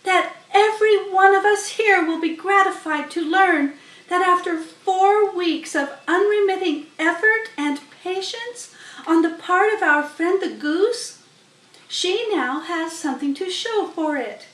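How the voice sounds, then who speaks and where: A woman reader plays a gracious lady spider from a storybook. She is high-pitched and ceremonious, proclaiming each phrase grandly. The sound is roomy, from a distant webcam mic. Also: a little slow, a bit bright. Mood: proud, ceremonious. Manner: grand, elaborate, declamatory. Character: formal, gracious female spider making a proud announcement.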